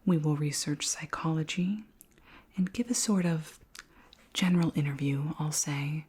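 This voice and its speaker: A woman voicing a curious, gently commanding alien queen in a soft, measured, formal whisper for an ASMR roleplay. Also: low voice, husky. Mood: calm, authoritative.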